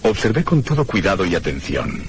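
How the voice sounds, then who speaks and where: A man's voice: sits low, sing-song, a little fast, noisy background. A man playing a troubled, brooding figure who recalls an eerie mystery in grave, literary first-person radio-drama narration. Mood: unsettled, intense. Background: music.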